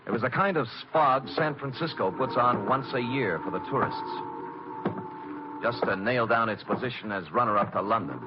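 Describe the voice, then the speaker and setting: An actor playing a wry, hard-boiled private detective, with sardonic, clipped delivery in 1940s radio noir style. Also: male voice, medium pitch, close to an everyday voice. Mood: tense narration. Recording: dull old radio broadcast recording.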